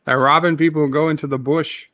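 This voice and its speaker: A man playing a boastful Tasmanian bushman in a mock Tasmanian accent, telling goofy tall tales in a deadpan way. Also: a little high, fast. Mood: joking. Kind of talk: joking bit on a game stream.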